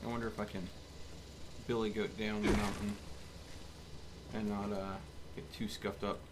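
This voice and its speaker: A man's voice: fairly low pitch, level tone, moderate pace. Casual male game streamer, noisy background. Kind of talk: casual live gameplay commentary. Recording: clear background noise.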